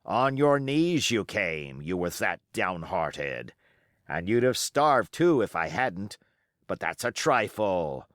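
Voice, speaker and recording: A man acts out a storybook pirate chief, scornful and cunning. His low voice rises and falls dramatically as he taunts his crew with pointed questions. The delivery is deliberate and emphatic. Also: very animated, a bit bright, no room sound, studio quality, heavily acted. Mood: taunting, triumphant. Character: Long John Silver, scornful cunning male pirate ringleader.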